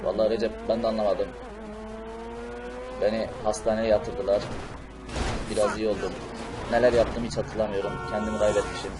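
A man's voice: lowish voice, flat delivery, brisk, pauses often, natural voice. A man voicing an emphatic male cartoon superhero in an amateur role-play over a video game. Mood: calm, apologetic. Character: angry, unhinged male cartoon superhero on a rampage. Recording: noisy game audio with explosions and vehicles.

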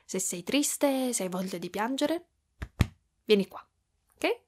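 A woman voicing a doting, clingy motherly spider-woman in an ASMR roleplay, speaking softly and affectionately with whispery stretches. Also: on the high side, expressive, slow, slight background noise. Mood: soothing, affectionate. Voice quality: soft, breathy with whispery stretches. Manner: cooing, affectionate, giggly, pet names.

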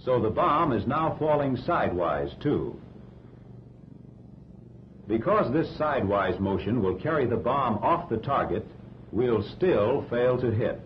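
1940s American training film narrator, dull rumbly soundtrack. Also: male voice, lively intonation, slight background noise. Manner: measured, didactic.

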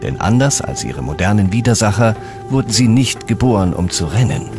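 Low-voiced male documentary narrator over music. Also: animated, brisk. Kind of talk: scripted dramatic documentary narration.

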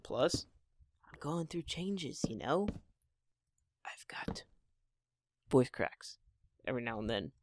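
A teenage boy, hoarse from talking, with a voice that cracks now and then and a middling pitch. He rambles casually and jokes at his own expense, recorded on a desktop mic at home. Faint hiss and mouse clicks sit under his voice. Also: big pitch swings, slow pace, pauses often. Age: teens. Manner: rambling, chatty, self-deprecating. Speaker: Minecraft gameplay channel host. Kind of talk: casual gameplay commentary.

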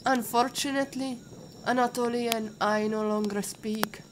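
Woman as patient Russian female mentor, highish voice, formal and instructive, audio drama, faint cricket noise behind. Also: steady tone, moderate pace, close to an everyday voice. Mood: gentle, reflective. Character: patient female warrior mentor, former Interpol agent, caring teacher.

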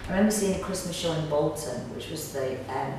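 Middle aged lady chatting, low voice, really echoey, mic is a way off. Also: quick pace. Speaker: pantomime actor. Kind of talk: casual interview chat. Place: small indoor room, seated on sofa. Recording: echoey room, distant mic, faint noise.

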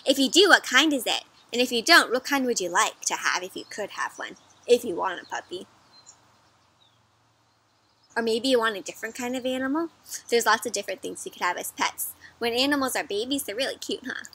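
A woman's voice: fairly high pitch, animated, fast talker, bright, forceful tone, slightly rough. A young woman doing an interactive storybook read-aloud for children, speaking expressively and putting questions to her listeners. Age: young adult. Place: outdoors in a garden or patio.

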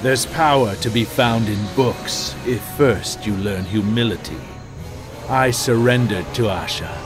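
An actor playing a stern, pious necromancer lord in a fantasy game, with pompous, measured, archaic speech. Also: male voice, lowish voice, natural voice. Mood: stern, scornful. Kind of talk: dramatic fantasy game dialogue. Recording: loud music under the voice.

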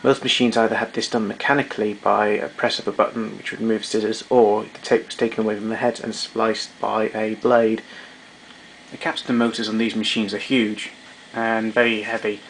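A man's voice: lowish voice, level tone, normal speed, slight background noise, low-quality recording. A man giving an unscripted technical demonstration in a matter-of-fact, somewhat hesitant way. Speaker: demonstrator of vintage tape recorder.

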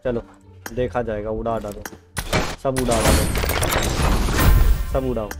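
A man's voice: lowish voice, little pitch movement, unhurried. A man doing casual gameplay commentary, chatting to viewers and repeating his phrases. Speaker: gaming video creator. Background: game music.

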